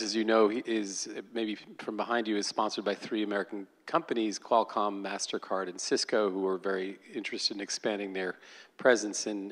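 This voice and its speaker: A man's voice: on the low side, fairly bright tone. A man who founded a company, asking a question at a public forum in a casual, hesitant way. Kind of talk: conversational audience question. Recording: hall reverb.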